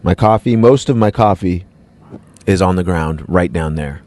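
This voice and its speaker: A man's voice: low-pitched, normal speed, darkish tone. A man hosting his own podcast, talking in a loose, rambling, self-mocking way. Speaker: podcast host. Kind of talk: casual podcast chat.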